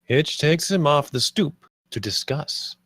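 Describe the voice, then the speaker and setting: A man's voice: a little high, animated, slow, a bit bright, no echo, clean studio sound. A man reading stage directions as the narrator at a screenplay table read.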